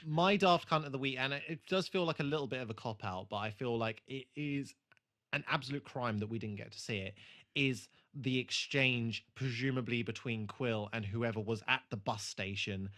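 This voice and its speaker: A man's voice: mid-range voice, normal speed, fairly bright tone. A man co-hosting a podcast, chatting casually and swearing freely about a TV show. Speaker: podcast host.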